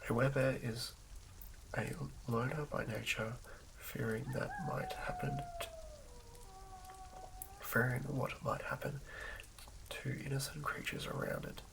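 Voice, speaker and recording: A man doing a soothing ASMR reading of fantasy game lore in a hushed, breathy whisper, occasionally stumbling over words. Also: mid-range voice, wildly swooping pitch, measured pace, pauses often. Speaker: ASMR host. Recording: lo-fi recording with clear background noise.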